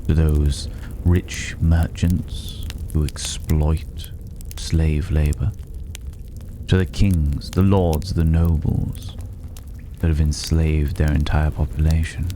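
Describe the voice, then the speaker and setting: A man voicing a mysterious, cultured recluse in a fantasy ASMR roleplay, speaking in a measured, philosophical way with breathy, whispered edges. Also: very deep voice. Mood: probing, disdainful. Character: mysterious, cultured, solitary male stranger living among dragon's hoard. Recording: clear steady background noise.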